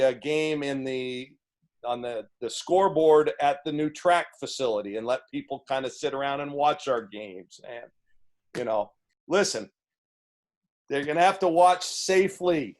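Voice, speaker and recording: Earnest booming-voiced male coach. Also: darkish tone. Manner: earnest, halting with restarts. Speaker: head basketball coach. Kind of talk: press conference answers.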